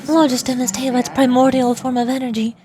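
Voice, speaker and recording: A woman's voice: high voice, little pitch movement, fairly quick, close to an everyday voice. A woman voicing a solemn, all-knowing ancient ruler from a fantasy game, reading formal explanatory dialogue aloud.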